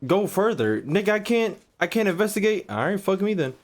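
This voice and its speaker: A man's voice: high voice, sing-song, brisk. A man streaming a game with casual, blunt, joking commentary full of slang and swearing. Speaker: gaming streamer. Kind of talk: casual profane gameplay commentary.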